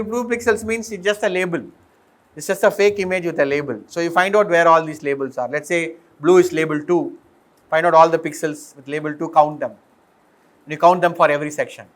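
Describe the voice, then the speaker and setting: A middle-aged man with an Indian accent speaks in a fairly high voice. He lectures informally on technical material like a professor in a classroom, often putting questions to his listeners and then explaining. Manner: explanatory, often posing questions and checking understanding. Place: indoor lecture room with green chalkboard. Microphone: fixed or worn mic, voice drifting as he turns.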